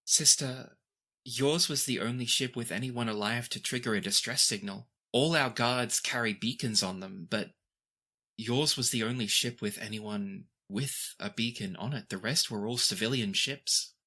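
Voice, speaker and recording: A man voicing a gracious, formal princess in a tabletop game, speaking with measured, courtly diction. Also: mid-range voice, pushed and bright. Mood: grave, concerned. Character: formal, gracious young royal princess, concerned for her brother.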